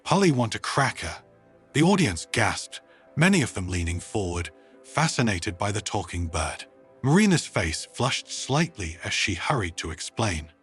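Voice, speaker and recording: A man narrates a scripted story with expressive, dramatic delivery. His pitch moves around in a lively way and his voice has a slight huskiness. Faint music plays underneath. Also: moderate pace, breathy edge. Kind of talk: scripted audiobook-style narration.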